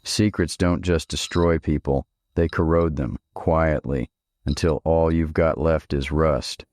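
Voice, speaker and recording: A man playing a steely middle-aged father, a ghost in an audio drama, speaking in a measured, admonishing way. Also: very deep voice, steady tone, darkish tone, no room sound, clean studio sound. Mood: stern, accusing. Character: hallucinated ghost of a father, steely, confrontational but gentle.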